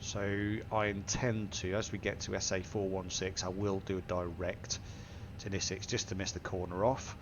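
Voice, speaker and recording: A low, gravelly man with a bunged-up nose from a cold, working through a cockpit setup step by step. He reads out each number and setting calmly as he goes. Steady aircraft hum sits clearly behind his voice. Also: normal speed, hardly any pauses, highs cut off. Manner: methodical, talks through each setting aloud. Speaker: flight sim tutorial host. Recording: simulator engine and cabin noise behind. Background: aircraft simulator hum.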